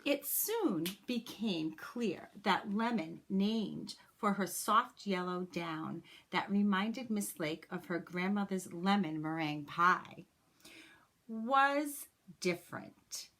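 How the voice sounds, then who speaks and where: A woman reading a children's picture book aloud like a teacher, with expressive, deliberate phrasing and personal asides to young listeners. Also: mid-range voice.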